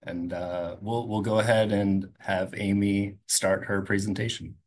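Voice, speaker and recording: A man's voice: fairly low pitch, little pitch movement, unhurried, a little hiss or hum. A man on a video call running a poll and reading results in an easygoing way. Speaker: webinar staff running a poll. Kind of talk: casual announcing.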